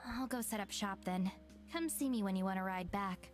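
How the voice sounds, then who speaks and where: A woman voicing a stoic, blunt but caring young woman in a deadpan way for a visual novel. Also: mid-range voice, normal speed, faint music behind, lightly acted. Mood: deadpan, easy. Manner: deadpan, matter-of-fact.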